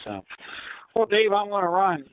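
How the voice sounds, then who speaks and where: A man's voice: high-pitched, big pitch swings, no room sound, phone-quality sound. Easygoing older American man on digital radio. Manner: easygoing, hesitant, pausing often. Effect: digital ham radio voice codec. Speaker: amateur radio operator. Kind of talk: casual radio chat. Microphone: two-way radio microphone.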